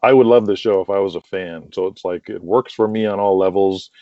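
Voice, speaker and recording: A man's voice: sits low, brisk, warm tone, dry recording, lo-fi sound. A man chatting casually and enthusiastically as an interview guest. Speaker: podcast guest, wrestling promoter and radio drama creator.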